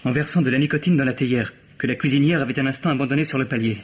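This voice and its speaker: A man's voice: mid-range voice, racing, husky. A young man playing an earnest, defiant son of a bourgeois family, with dramatic declarations in a vintage radio mystery. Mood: resolute. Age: young adult. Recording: dull, lo-fi old radio recording.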